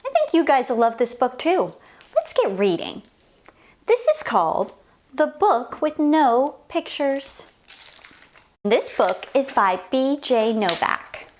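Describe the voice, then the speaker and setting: High, animated woman reading to children. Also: slow pace. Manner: exaggerated, theatrical, playful reactions. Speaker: children's story time host. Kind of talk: animated picture book read-aloud for kids.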